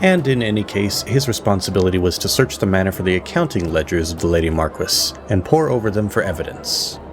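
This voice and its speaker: A man narrating an audio drama as storyteller, with measured, theatrical pauses. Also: low voice, animated, music in the background.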